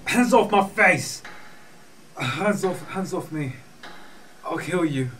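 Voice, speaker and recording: A man's voice: on the high side, lively intonation, slow, a bit bright, slight background noise. A man playing a mind-controlled commander in a fan audio drama. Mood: hostile struggle. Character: male mind-controlled commander, hostile, then shaken and freed. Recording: distant mic under music.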